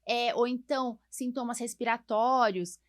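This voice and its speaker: Casting: Brazilian female podcast host, medical expert, fairly high pitch, informal explanatory chat peppered with tag questions. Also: fairly even intonation, normal speed. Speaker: podcast host, allergist doctor. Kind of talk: casual podcast conversation.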